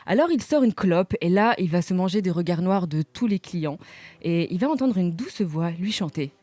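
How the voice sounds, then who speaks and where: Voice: medium pitch, expressive, normal speed, dark tone, no room sound. A radio columnist presenting manga in a casual chat, with playful jokes to the listeners. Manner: playful, jokey asides to the audience. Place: radio studio.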